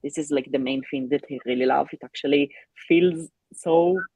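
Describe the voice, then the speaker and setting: Husky-voiced woman, halting casual chat. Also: sits low, warm tone, no room sound, poor audio. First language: not English. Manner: halting, with many fillers and restarts. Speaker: co-host of a live reading stream.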